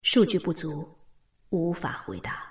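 A woman playing an ancient, all-knowing supercomputer in an audio drama, measured and detached. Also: low-pitched, big pitch swings, exaggerated voice. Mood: impassive. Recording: roomy echo on the voice.

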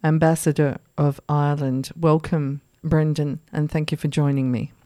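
A woman's voice: low voice, little pitch movement, moderate pace, dark tone, no echo. A woman hosting a radio interview, asking questions courteously. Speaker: radio host.

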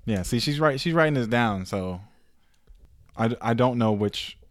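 A man's voice: mid-range voice, lively intonation, normal speed, no room sound, clean studio sound. A man co-hosting a podcast in casual chat, rambling and profane, full of fillers. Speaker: podcast co-host.

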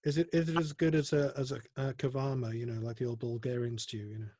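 Man, host, friendly interviewer, quick talker, dry close sound. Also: medium pitch, little pitch movement, a little mellow, dry recording. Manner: friendly, stumbles over restarts. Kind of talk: casual interview.

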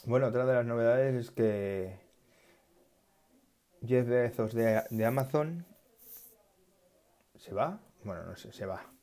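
A man chatting casually about tech news, halting often and correcting himself as he goes. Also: mid-range voice, dark tone. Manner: halting, restarts and self-corrections, musing. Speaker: tech vlogger.

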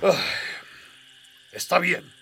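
A man's voice: extremely slow, sharp tone. A man playing a stern, pompous and easily flustered alien colonel, barking officiously in a comedic radio drama.

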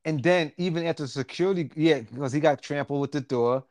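A man's voice: on the high side, fairly quick, dry recording, clean studio sound. A man co-hosting a podcast, talking in a casual, profane, slangy way and telling things bluntly and with animation. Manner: blunt, animated storytelling with slangy colloquial grammar. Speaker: podcast co-host reviewing a horror film.

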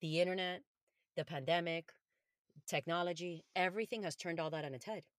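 A woman's voice: on the low side, fairly quick, no room sound, studio quality. A woman from Colombia whose first language is Spanish, speaking earnestly and encouragingly as a podcast interview guest.

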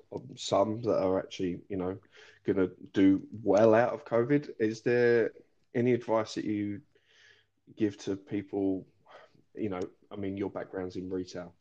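A man with an English accent hosts a podcast, chatting with his guest in an affable way and dropping in jokey asides. His voice sits low, and the audio is dull and lo-fi. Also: warm tone. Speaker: podcast host. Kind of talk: casual interview chat.

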